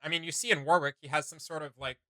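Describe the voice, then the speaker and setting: A man's voice: on the high side, steady tone, fast talker, pushed and bright, no echo. A man giving casual reaction commentary as a video host, thinking aloud in a rambling way with trailing asides.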